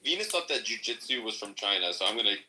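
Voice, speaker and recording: A man in a casual chat, talking in a rambling, animated way. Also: on the low side, fast, a little hiss or hum, low-quality recording. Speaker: podcast participant.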